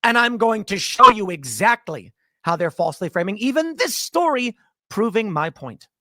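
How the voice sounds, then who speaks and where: A man's voice: high voice, big pitch swings, moderate pace, pushed and bright, no room sound, clean studio sound. A man from Chicago delivering an argumentative, emphatic political commentary monologue, often restarting his sentences.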